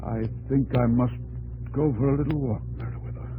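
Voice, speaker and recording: An actor playing a thoughtful, fatherly American president in a 1950s radio drama, with a gentle, measured, slightly hesitant manner. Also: medium pitch, steady tone, phone-quality sound, over music, lightly acted. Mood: grave. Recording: noisy old 1950s radio recording.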